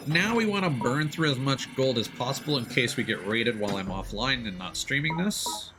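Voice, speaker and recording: A man's voice: mid-range voice, very animated, normal speed. A man doing casual live gameplay commentary, thinking aloud and chatting to his viewers as he plays. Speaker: game streamer. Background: video game music.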